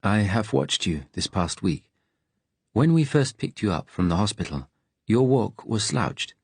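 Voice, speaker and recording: A man narrating an audiobook, voicing a calm, direct resistance woman with a serious, measured manner. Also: on the low side, creaky, husky, dry recording, full character voice. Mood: calm, gentle. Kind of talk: audiobook character dialogue.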